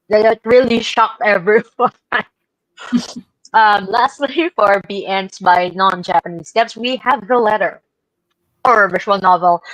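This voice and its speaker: An enthusiastic woman chats casually as she presents games on a show. Her voice has an average pitch and is recorded very dry, with no room around it. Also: no room sound, poor audio.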